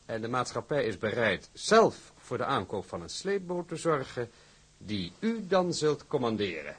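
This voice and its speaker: An actor playing a suave, condescending shipping director in a Dutch radio drama, speaking in a formal, patronising way. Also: male voice, mid-range voice, very animated, unhurried, husky. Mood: courteous.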